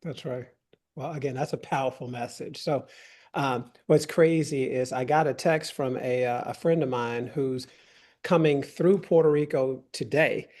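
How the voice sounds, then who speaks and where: A man hosting an interview, with a voice of middling pitch. He talks in a folksy, affirming, chatty way, agreeing readily with his guest. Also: a little slow, a little mellow. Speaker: real estate agent and show host. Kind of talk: interview on video call.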